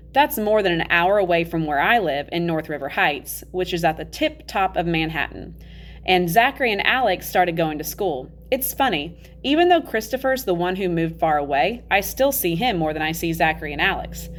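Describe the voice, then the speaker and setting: A woman's voice: on the low side, animated, normal speed, natural voice. A woman reading a children's novel as its candid, matter-of-fact ten-year-old boy narrator, in a confiding, conversational way. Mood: chatty, reflective. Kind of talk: children's novel read-aloud.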